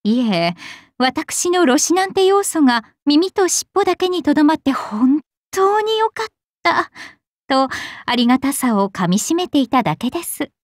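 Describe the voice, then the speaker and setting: A woman playing a prim young attendant in a game, polite but sharp-tongued, with a sardonic deadpan manner. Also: sits high, moderate pace, no room sound, clean studio sound. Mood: dry, weary. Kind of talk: game character acting.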